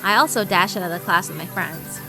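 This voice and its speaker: A woman's voice: lowish voice, brisk. A woman delivering dramatic scripted narration as the narrator of a horror visual novel story. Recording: prominent music behind. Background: background music.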